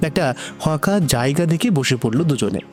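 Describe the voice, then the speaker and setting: A man's voice: a little high, animated, moderate pace, darkish tone, faint music behind. A man narrating an emotional romantic story from a script.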